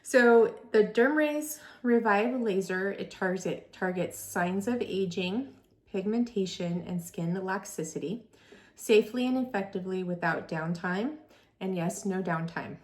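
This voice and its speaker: Forties female esthetician vlogger, enthusiastic and conversational, mid-pitched. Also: a little slow. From California. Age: 40s. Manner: chatty, enthusiastic, reads specs aloud. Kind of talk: product review vlog.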